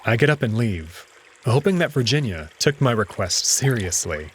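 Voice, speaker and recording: Male narrator for a dramatic first-person visual novel read, mid-pitched, lively intonation. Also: moderate pace, a little hiss or hum.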